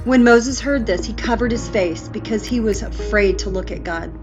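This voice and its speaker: A woman's voice: mid-range voice, moderate pace, darkish tone. A woman narrating a scripted Bible read-along as its host. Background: background music.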